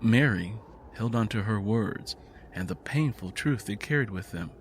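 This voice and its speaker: A man's voice: fairly low pitch, expressive, normal speed, slight background noise. A man reading scripted dramatic fiction as an audiobook narrator.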